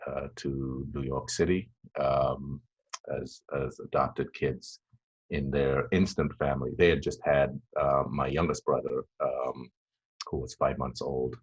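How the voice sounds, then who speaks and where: A man in his fifties with an extremely deep, husky voice talks in a flat, even tone. He pauses often, restarting sentences as he reflects. The recording sounds dull and lo-fi. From Tanzania. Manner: halting and reflective, many fillers and restarts. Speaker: podcast guest. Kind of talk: casual interview chat.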